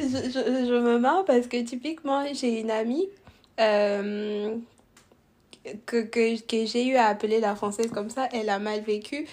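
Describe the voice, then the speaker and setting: A woman's voice: fairly high pitch, a little mellow. A young woman from Congo hosting a podcast, talking casually, hesitant and full of restarts, asking probing questions. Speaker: podcast host. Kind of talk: casual conversation.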